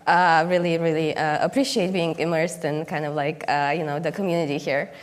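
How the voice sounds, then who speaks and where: A woman's voice: low voice, fairly even intonation, brisk. A young woman from Romania giving a conference keynote, speaking hesitantly with many fillers and restarts. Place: conference hall stage. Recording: long reverb of a conference hall.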